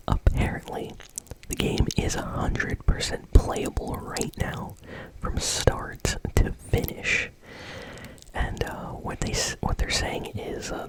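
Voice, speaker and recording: Whispering ASMR gaming news host. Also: low-quality recording. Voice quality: breathy, unvoiced whisper. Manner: casual, unscripted, hesitant phrasing with trailing asides.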